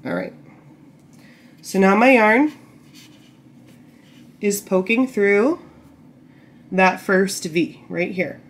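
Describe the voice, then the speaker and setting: A woman getting over a cold, a bit croaky, patiently explaining knitting steps while working with her hands. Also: medium pitch, extremely slow, long pauses. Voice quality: slightly gravelly. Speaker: knitting tutorial host. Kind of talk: casual step-by-step instruction. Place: indoor table, camera over hands.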